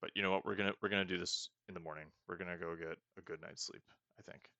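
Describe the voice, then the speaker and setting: A man's voice: low-pitched, steady tone, fast, vocal fry, sharp tone, dry recording, highs cut off. A man casually talking through a roleplay video game as the host, thinking aloud and often restarting his sentences. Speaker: let's play host. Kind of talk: casual gameplay commentary.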